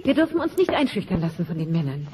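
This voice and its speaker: A woman's voice: fairly low pitch, big pitch swings, quick pace, distant mic, noisy background. An actress playing a proud, indignant female brontosaurus with firm feminist resolve in a German audio drama. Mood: determined.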